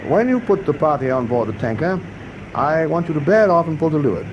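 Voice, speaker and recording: A man plays a wartime British naval captain in a radio drama. He talks fast in a slightly high, clipped voice, composed and used to command. Clear engine and sea noise runs behind him. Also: lively intonation, highs cut off, close to an everyday voice. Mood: steady, instructive. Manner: clipped, authoritative, courteous.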